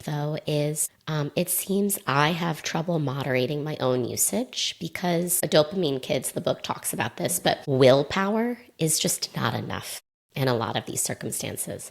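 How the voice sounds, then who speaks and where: A woman in her thirties speaks in a low register with a slight rasp, a little hoarse from a sore throat. She talks as a podcast host on her own, in a candid, apologetic and conversational way. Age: 30s. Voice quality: slightly raspy. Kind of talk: casual solo podcast monologue.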